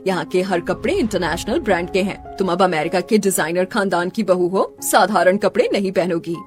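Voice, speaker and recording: A woman voicing a warm, dignified middle-aged mother in a Hindi cartoon story, tender and measured. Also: lowish voice, faint music behind, natural voice. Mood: approving.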